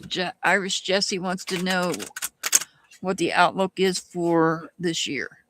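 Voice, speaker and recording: Slow, musing reader with a Southern US accent. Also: medium pitch, fairly even intonation, fairly bright tone, a little hiss or hum. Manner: slow, musing, restarts often. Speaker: tarot reader. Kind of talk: live-stream tarot reading, answering chat.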